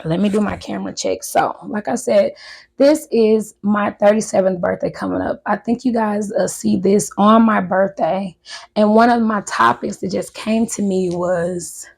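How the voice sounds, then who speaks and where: A woman in her thirties chatting casually and a bit nervously to her viewers, her voice hoarse from recently losing it. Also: mid-range voice, moderate pace. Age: 30s. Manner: chatty, nervous, talks straight to viewers as "y'all". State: voice recovering from loss, hoarse. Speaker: vlogger. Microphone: handheld wireless mic.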